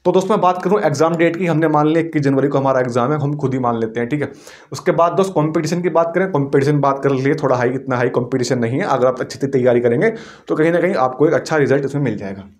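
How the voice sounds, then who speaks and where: Male exam coach, slightly high voice, informal explanatory lecture delivery with frequent check-ins. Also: fast. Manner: explanatory, often checks in with the listener.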